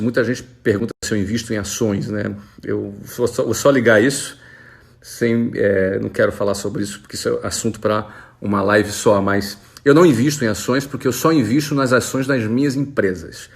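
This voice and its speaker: A Brazilian man in his forties, an entrepreneur talking casually to camera and answering follower questions in a relaxed, conversational way. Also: mid-range voice, gravelly. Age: 40s. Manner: relaxed, conversational, with frequent fillers.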